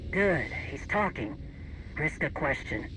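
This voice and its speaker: Sharp, blunt warrior advisor over radio. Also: male voice, fairly high pitch, big pitch swings, takes it slowly, slight background noise, full character voice, low-quality recording. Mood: guarded approval. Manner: blunt, clipped, instructing. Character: blunt, tactical space-opera warrior advisor on comms. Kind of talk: cinematic video game acting.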